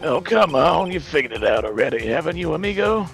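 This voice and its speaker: A man's voice: on the high side, sing-song, brisk, gravelly, soft background music. A man voicing a smug, taunting prosecutor in a game fan dub, with a sardonic drawl. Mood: smug, grave. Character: smug, cool, taunting prosecutor who says amigo.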